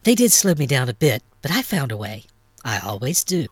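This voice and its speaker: A man's voice: fairly high pitch, sing-song, a little fast, fairly bright tone, no room sound, pitched above the performer's own voice. A man voicing a tough, sly woman on the run in a narrated story, in a guarded, matter-of-fact manner. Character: tough, sly young woman on the run, street-raised psychic. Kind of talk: acted character dialogue in a narrated story.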